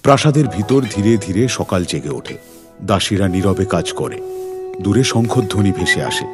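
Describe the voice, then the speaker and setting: A man's voice: deep voice, expressive, normal speed. A man narrating a scripted suspense story in a dramatic, suspenseful manner. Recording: music behind the voice.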